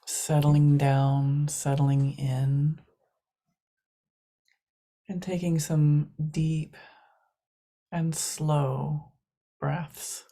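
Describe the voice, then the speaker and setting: Female meditation guide: low, mellow, hushed delivery in short, spaced-out phrases. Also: little pitch movement. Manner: soft, hushed, long pauses between short phrases.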